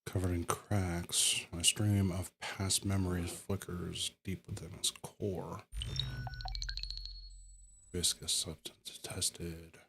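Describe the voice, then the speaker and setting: A man's voice: low voice, little pitch movement, slow pace, noisy background. A man voicing a stoic robot in a video game, giving clipped, mechanical status reports. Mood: detached. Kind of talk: scripted game voice acting.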